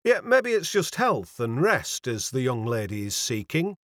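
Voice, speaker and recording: Man as a kindly, fretful Yorkshire landlady for a storybook; exclaiming, deferential dialect, highly animated. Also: measured pace, dry recording, clean studio sound. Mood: chatty, wondering. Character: fussy, kindly Yorkshire landlady, easily alarmed.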